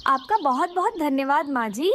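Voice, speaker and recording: Lady doing a mama bird for a cartoon, gentle and polite, high lively voice. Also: normal speed, slight background noise. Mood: polite, grateful. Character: gentle worried mother bird, polite and practical. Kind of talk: animated cartoon story.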